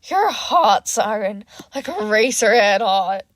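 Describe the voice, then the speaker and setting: A woman's voice: mid-range voice, lively intonation, slow, dry recording. A woman voicing a tipsy, flirtatious grown man with a teasing manner in an audiobook story. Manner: teasing, slightly slurred.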